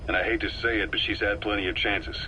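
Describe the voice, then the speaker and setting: Deep, forceful military intelligence officer. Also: male voice, fairly even intonation, quick pace. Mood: calm, analytical. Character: cool, calculating veteran mercenary intelligence officer, male. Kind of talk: video game voice acting, briefing dialogue. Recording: noisy radio-like cassette tape recording.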